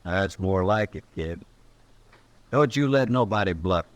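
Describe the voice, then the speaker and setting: A goading troublemaker of the Old West in a radio drama. His voice is low and dry, with a rural Western American drawl. He needles and insists in colloquial speech. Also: animated, unhurried, no echo. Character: goading, sly male frontier troublemaker egging on a youngster.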